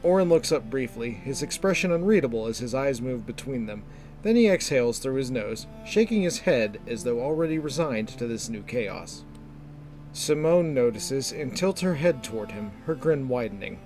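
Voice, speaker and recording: A man narrating a scripted fantasy story in a measured, descriptive storytelling manner. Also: mid-range voice, lively intonation, a little mellow. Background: music.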